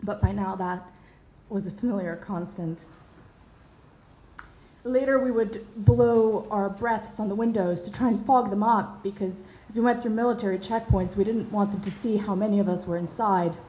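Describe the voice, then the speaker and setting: A woman in her thirties from Bosnia and Herzegovina tells a personal story, earnest and measured, with soft pauses and hesitations. Her voice is warm and mellow, and it rings a little in an echoey room. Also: mid-range voice, a little hiss or hum. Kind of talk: personal story told to an audience.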